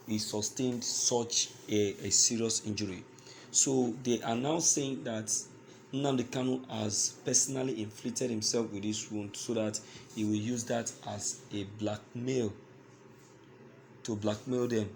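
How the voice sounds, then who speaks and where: A man with a Nigerian accent giving news commentary to his listeners, speaking in a halting, emphatic way and repeating phrases. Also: lowish voice, gravelly, a little hiss or hum.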